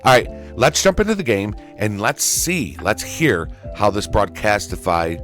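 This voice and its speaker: Male gaming tutorial host, low-pitched voice, folksy and casual, faint music underneath. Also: very animated, a little slow, a bit bright. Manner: folksy, addresses viewers as y'all and folks. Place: indoors at a gaming computer, voice over screen recording.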